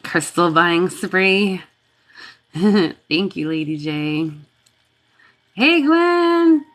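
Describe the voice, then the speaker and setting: A woman's voice: lowish voice, lively intonation, extremely slow, a bit bright. A woman hosting a reiki livestream, greeting and thanking viewers by name in a casual, chatty way while congested and sniffly from a cold.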